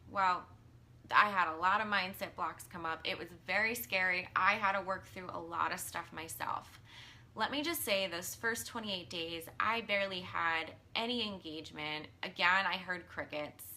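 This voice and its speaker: Woman in her 30s, live-stream coach, bright tone, slightly hoarse, earnest chatty delivery with ums. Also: on the low side, normal speed. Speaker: mindset and business coach. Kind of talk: casual live-stream talk, reading viewer comments.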